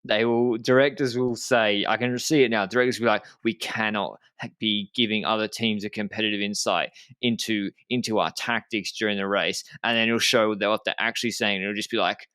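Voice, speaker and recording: Low, clear-voiced man podcasting. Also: steady tone, fairly quick, pushed and bright, no room sound. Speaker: podcast host. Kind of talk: casual podcast discussion.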